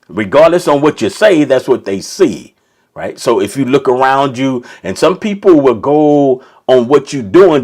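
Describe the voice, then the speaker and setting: A man's voice: mid-range voice, a little mellow. A man preaching an informal sermon, rambling with many restarts and checking in with "right?". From Kentucky.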